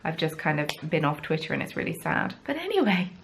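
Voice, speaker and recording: A woman's voice: low-pitched, sing-song, fast talker, creaky, slight background noise. A young woman from the south of England with a Southern English accent, hosting a casual crafting podcast and chatting in a rambling, self-deprecating way. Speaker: podcast host. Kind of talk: casual chat.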